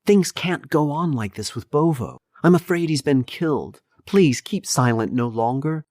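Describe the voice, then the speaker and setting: A man's voice: sits low, fairly quick, no room sound, studio quality, lightly acted. A man voicing a passionate, anxious young noblewoman in a storybook, pleading and emotive. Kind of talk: dramatic storybook reading.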